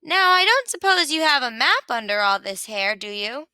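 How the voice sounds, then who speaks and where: Lady doing a perky sheep from a kids book, super sure of herself, bright and high. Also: sing-song, moderate pace, clean tone, no echo, studio quality, full character voice. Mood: breezy, bossy. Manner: breezy, self-assured, exclaiming. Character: bubbly, cocksure female sheep who loves dancing. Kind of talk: children's picture book read-aloud.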